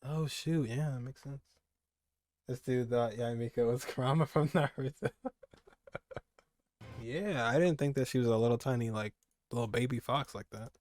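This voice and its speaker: A man's voice: medium pitch, normal speed, long pauses, darkish tone, no room sound. A young man giving casual, rambling reaction commentary as a gaming streamer, repeating phrases for emphasis.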